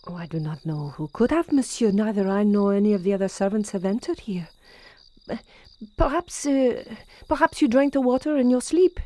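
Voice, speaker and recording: An actress playing a concerned female servant to a French gentleman in an audio horror drama, polite, tentative and deferential. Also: mid-range voice, animated, moderate pace, no room sound, natural voice. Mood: puzzled concern.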